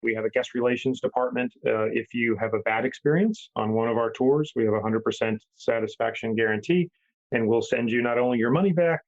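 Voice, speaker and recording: A man's voice: mid-range voice, fairly quick. Tour company guy talking customer feedback, lots of uhs, kinda muffled audio. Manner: earnest, with frequent "uh"s and restarts. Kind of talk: casual podcast chat.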